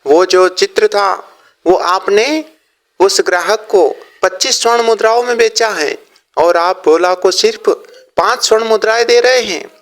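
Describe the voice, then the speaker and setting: A merchant's honest son in a cartoon moral tale, played by a male narrator. The voice is high and insistent. He scolds with emphatic, righteous reproach. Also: measured pace. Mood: indignant reproach.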